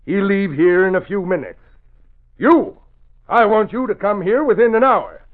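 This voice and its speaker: An actor playing an angry, scheming crooked politician and crime boss in a 1940s radio drama, blustering and commanding, with a gravelly voice. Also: male voice, sits high, moderate pace, natural voice. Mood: commanding, urgent. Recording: muffled old radio recording.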